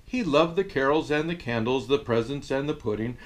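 An older man playing Santa reads a children's story aloud in an expressive, jolly manner. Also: mid-range voice, fairly quick. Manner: expressive, jolly delivery with chuckles. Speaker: storyteller dressed as Santa Claus. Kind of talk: animated storybook reading for children.

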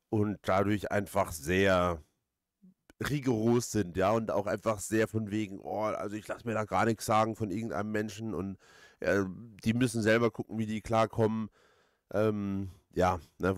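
A man with a fairly deep voice chats through a video game. He rambles, hesitates and thinks aloud in a casual way. The sound is dry, with no room to it. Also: dry recording. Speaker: let's player, host. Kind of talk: casual let's play commentary.

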